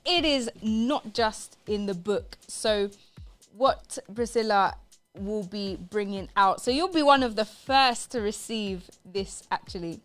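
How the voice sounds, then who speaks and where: A woman's voice: medium pitch, slow, faint music behind. A woman presenting a live radio show in an upbeat, emphatic way, repeating phrases for stress.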